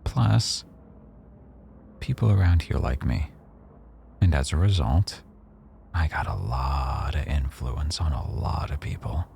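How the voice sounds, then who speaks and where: A man playing a rough-edged mafia boss who owns a cafe, teasing, probing and quietly threatening, in a boyfriend ASMR roleplay. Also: rumbling low, animated, slow pace, pauses often, a little hiss or hum, heavily acted. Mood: veiled threat. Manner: teasing, probing, smugly self-assured.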